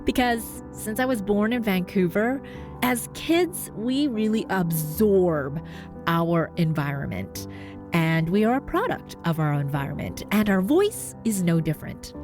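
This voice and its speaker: A woman's voice: expressive, measured pace, over music. Upbeat Canadian woman with a neutral accent. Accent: neutral North American. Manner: upbeat, encouraging, with big jumps in pitch for emphasis. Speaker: podcast host and voice coach. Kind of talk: scripted podcast monologue.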